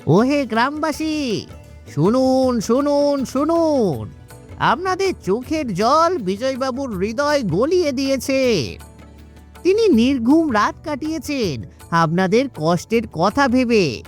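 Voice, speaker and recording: A woman playing a fawning, crafty male henchman of a village boss in an animated moral story, obsequious and theatrical. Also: sits high, slow, soft background music, exaggerated voice. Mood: proclaiming.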